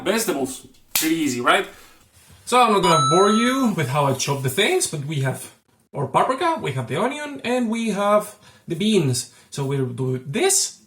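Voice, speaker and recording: A man's voice: on the high side, sing-song, moderate pace, fairly bright tone. Man, casual joking cooking host, rambly and self-correcting, echoey home kitchen. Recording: roomy kitchen with faint noise.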